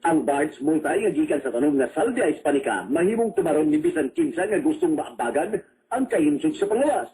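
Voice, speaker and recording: Male radio announcer, Cebuano Filipino accent, scripted advertising copy. Formal and emphatic, lively, slightly high register. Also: low-quality recording.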